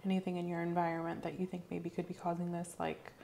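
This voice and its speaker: A woman in her thirties, soft-spoken and methodical, playing a medical examiner in an ASMR roleplay. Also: fairly low pitch, fast talker. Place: small indoor room set up as an exam room. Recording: clear steady background noise and room ambience.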